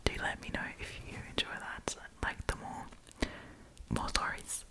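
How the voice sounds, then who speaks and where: A woman speaking in a breathy, unvoiced whisper as an ASMR host, reading stories and commenting in a chatty, informal, opinionated way. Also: unhurried.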